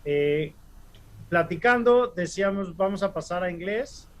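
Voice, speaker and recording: A man hosting a webinar over a video call, relaxed and full of "eh" fillers. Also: high voice, a little slow. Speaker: webinar host.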